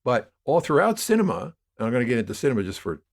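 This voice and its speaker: A man's voice: medium pitch, big pitch swings, fast talker, vocal fry. A man speaking as an interview guest, explaining at length in a discursive, emphatic storytelling way. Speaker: podcast guest, audio drama producer. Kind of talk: conversational interview, explaining media history.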